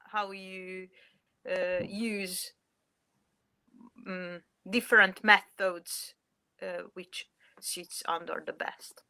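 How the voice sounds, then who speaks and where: Slow, bright Hungarian-accented woman. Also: lowish voice, level tone, smooth, no echo. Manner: hesitant, many uh fillers. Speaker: mother, guest. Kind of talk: interview answers.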